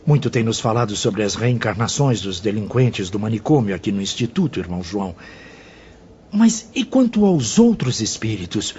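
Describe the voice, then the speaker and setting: A man's voice: fairly low pitch, big pitch swings, moderate pace, darkish tone. A man as an impatient, curious spirit apprentice. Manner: eager questioning. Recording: dull, faint noisy audio.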